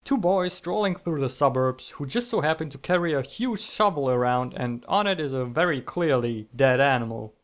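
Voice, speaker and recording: A man's voice: medium pitch, animated, normal speed, few pauses. A young man narrating scripted comic stories in a theatrical, mock-epic manner, with self-deprecating asides. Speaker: online storyteller.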